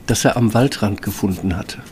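A man's voice: fairly low pitch, normal speed, darkish tone, a little hiss or hum. A man reading scripted fairy-tale narration as the storyteller of a radio play.

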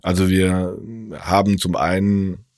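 Deep-voiced man, measured interview answers. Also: completely flat delivery, darkish tone, no echo, studio quality. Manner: measured, with frequent fillers. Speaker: hospital staff coordinating international nurses.

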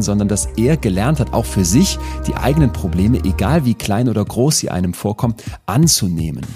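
A man closing a podcast episode with earnest, emphatic reflection. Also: on the low side. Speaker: podcast host. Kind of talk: closing narration. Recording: music clearly underneath.

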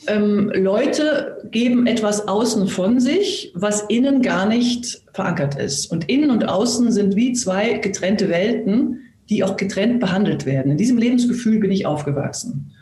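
A woman's voice: medium pitch, expressive, a little slow, mellow, a little hiss or hum. Thoughtful woman guest, echoey room. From Germany. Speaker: guest, actress, voice artist and coach. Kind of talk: reflective conversational interview. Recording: roomy, echoing sound.

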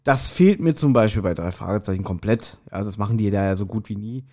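A man's voice: on the low side, a little fast, dark tone. A man co-hosting a podcast, chatting casually as he reviews audio dramas and acts out short quotes. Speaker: podcast co-host.

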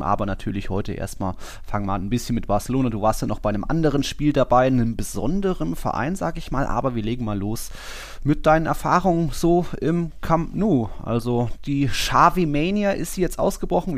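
A man's voice: mid-range voice, brisk, hardly any pauses, no room sound. Man hosting a podcast, dry close sound. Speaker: podcast host. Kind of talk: casual football podcast chat. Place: studio.

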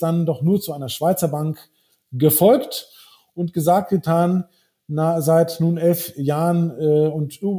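A man's voice: fairly high pitch, mellow. A man in his forties who grew up in Brazil, a wealth manager talking through his views in a podcast conversation with frequent hesitations and restarts.

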